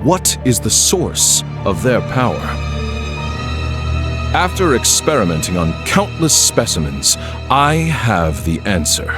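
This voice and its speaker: A man's voice: on the low side, sing-song, slow pace, music in the background. A man playing an urbane, theatrical scholar villain in a video game, grandiose and savoring his words. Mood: grandiose, lecturing. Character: smooth, theatrical scholar villain with hidden malice. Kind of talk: dramatic game voice acting.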